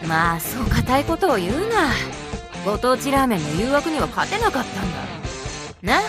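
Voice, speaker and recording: A worldly female teacher in an anime-style visual novel. She talks very fast with blunt, casual, tomboyish phrasing, over clearly heard guitar music. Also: a little high, sing-song. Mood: composed, teasing. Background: guitar game music.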